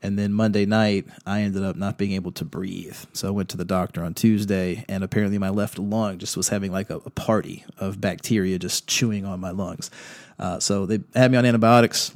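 A lowish-voiced man hosts his podcast in a chatty, rambling way, blunt and peppered with fillers. His voice is a little rough from recent illness. The sound is close and dry, with no room around it. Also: little pitch movement, normal speed, a little mellow, no room sound. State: slightly rough voice after illness. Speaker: podcast host. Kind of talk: casual podcast announcements.

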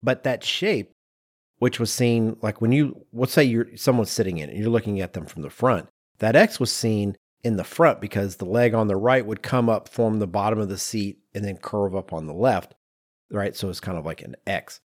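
A man's voice: on the low side, normal speed, no echo, clean studio sound. A man co-hosting a casual design discussion, speaking in an explanatory, step-by-step conversational way. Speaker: podcast co-host.

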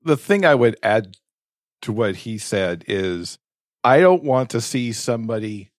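A man's voice: mid-range voice, very animated, measured pace, no room sound, clean studio sound. A man co-hosting a podcast, talking conversationally in a discussion. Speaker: podcast co-host.

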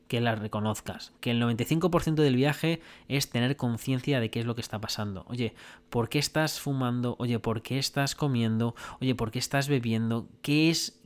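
Earnest man coaching the listener. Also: mid-range voice. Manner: earnest, repetitive, addresses listener directly with "oye" and "mira"; blunt, swears for emphasis. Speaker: self-help podcast host. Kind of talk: motivational monologue.

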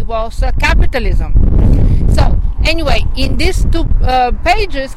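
Hesitant woman explaining. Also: fairly high pitch. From Bulgaria. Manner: measured, lecturing, frequent hesitations. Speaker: interviewee. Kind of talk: street interview. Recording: street noise, traffic.

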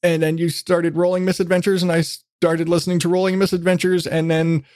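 A man's voice: high voice, level tone, brisk, darkish tone, no echo, studio quality. A man hosting a casual podcast interview, talking in a rambling, self-deprecating way with casual swearing. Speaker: podcast host.

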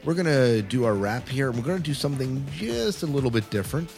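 A man's voice: medium pitch, very animated, fast, gravelly. A man hosting a podcast in a casual, chatty, wordy way, explaining things informally. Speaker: podcast host. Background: loud music bed.

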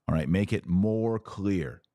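A man's voice: sits low, expressive, slow, warm tone, dry recording. A man hosting a podcast, reading documents aloud with emphasis and adding informal, colloquial explanations as commentary.

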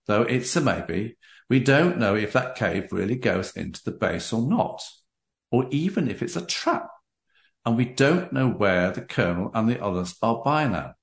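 A man hosting a book interview, addressing the audience deliberately and reading aloud. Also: lowish voice, vocal fry. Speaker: podcast host. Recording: roomy, echoey sound.

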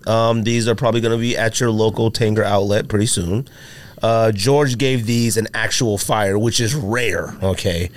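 A man hosting a casual sneaker and sports podcast, bantering in an animated, teasing way and a little sniffly with allergies. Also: on the low side, moderate pace, dry recording. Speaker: podcast host.

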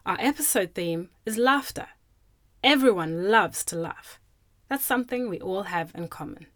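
A woman's voice: medium pitch, moderate pace, dry recording. Cheerful woman, dry close sound. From Zimbabwe. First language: Shona. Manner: cheerful, expressive storytelling. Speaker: presenter. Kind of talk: scripted narration for children.

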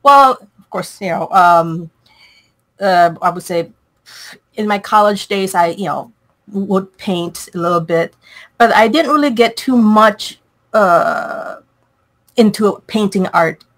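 Brief: hesitant, laughing woman from Malaysia, mid-pitch, casual interview guest. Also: takes it slowly, fairly bright tone. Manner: hesitant, many fillers, laughs often. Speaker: guest artist.

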